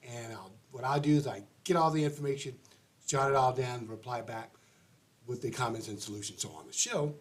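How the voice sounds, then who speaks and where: A man's voice: medium pitch, a little fast. A man hosting an advice show, sounding congested and stuffy-nosed, talking in a rambling, chatty way with restarts. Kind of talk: casual livestream monologue.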